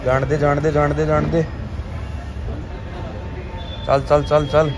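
Man vlogging over loud train noise. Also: fairly high pitch, level tone, slow pace, a crowd in the background. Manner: informal, addresses viewers as friends, repeats phrases. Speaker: travel vlogger. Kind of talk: casual vlog chat to camera. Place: railway platform and inside a train. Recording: noisy railway station and train ambience. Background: loud train and station noise.

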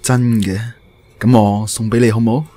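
A man's voice: lowish voice, fairly even intonation, moderate pace, mellow, natural voice. A man acting a devoted, earnest and unsure young man in love in a radio drama, with a Hong Kong Cantonese accent. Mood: tender. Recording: roomy echo, music bed.

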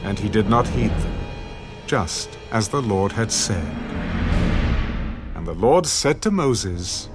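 A man narrating scripture in a scripted dramatic style, solemn and measured. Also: lowish voice, lively intonation. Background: music.

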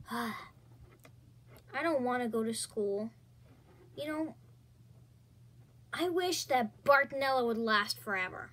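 A woman doing a whiny scheming schoolgirl. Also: sits high. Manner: pleading, excitable, theatrical fake coughing. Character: whiny schoolgirl pleading, then faking sick. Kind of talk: comedy skit acting.

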